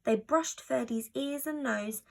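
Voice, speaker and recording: A woman narrating a children's story from a script in an expressive storytelling style. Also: sits high, measured pace, vocal fry. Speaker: storybook reader.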